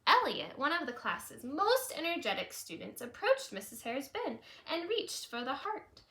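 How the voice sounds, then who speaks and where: Lively woman reading a children's storybook. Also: high voice, normal speed. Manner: simple, child-directed, wondering aloud. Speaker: storybook reader, teacher. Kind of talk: animated children's read-aloud with chatty asides.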